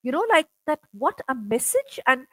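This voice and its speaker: Middle-aged lady chatting on a video call, kinda high voice, stops and restarts a lot, dry sound. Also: big pitch swings, pushed and bright, no echo. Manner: chatty, halting, full of "you know" and repeats. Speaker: interviewer. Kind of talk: casual conversational interview.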